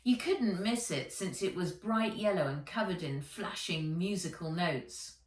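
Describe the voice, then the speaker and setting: A woman reads a children's story aloud with measured, expressive narration. Her voice sits a little low and moves very slowly. The room is noticeably echoey around her. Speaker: author reading own book. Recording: roomy echo, distant microphone.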